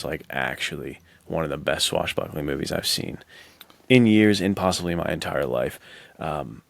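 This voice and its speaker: Man, low creaky voice, podcast host, informal enthusiastic chat, slangy delivery, close dry sound. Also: no echo. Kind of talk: casual enthusiastic chat about adventure stories.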